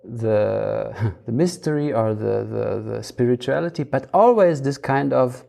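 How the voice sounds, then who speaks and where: Creaky, mellow voice, thinking out loud. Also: mid-range voice, expressive, measured pace. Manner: hesitant, searching for words. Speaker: panel discussion participant. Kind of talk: casual intellectual conversation.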